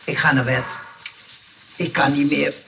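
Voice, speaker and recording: An actor playing a weary, fussy gentleman in a radio play, speaking hesitantly and formally, in a plaintive way that easily turns exasperated. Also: on the high side, little pitch movement, a little slow, gravelly, far from the mic, slight background noise, full character voice. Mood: puzzled, weary. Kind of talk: Dutch radio play acting.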